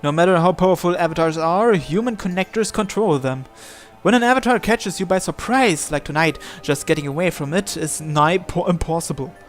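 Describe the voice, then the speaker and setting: A man reading a visual novel aloud as dramatic first-person narration, in a theatrical, deliberate way with short phrases. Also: high voice, faint music behind.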